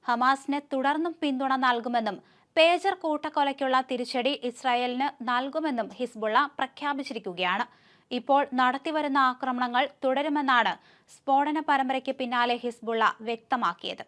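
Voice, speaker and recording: A woman's voice: sits high, normal speed, a bit bright. A woman with a Kerala Malayali accent presenting the news as scripted narration.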